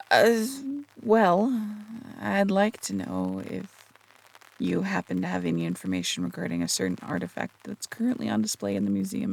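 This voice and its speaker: A performer acts out a charming phantom thief in a roleplay audio drama, teasing and flirting at close quarters. The voice is heavily creaky and husky, with lively swings in pitch. The delivery is coy and casual, with an earnest, hesitant streak beneath the playfulness. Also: heavily acted. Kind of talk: roleplay audio drama, intimate one-sided dialogue.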